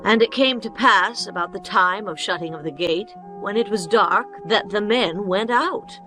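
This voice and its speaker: A woman's voice: mid-range voice, moderate pace, music in the background, lightly acted, rough recording. A woman voicing an earnest, clever innkeeper woman pleading in a dramatized scripture reading.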